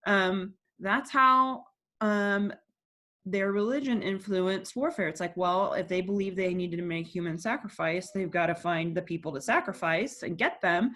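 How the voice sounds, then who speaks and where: A woman's voice: medium pitch, moderate pace, a bit bright. Woman teacher reading a lesson aloud. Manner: explains terms and asks the class questions. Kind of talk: classroom read-aloud.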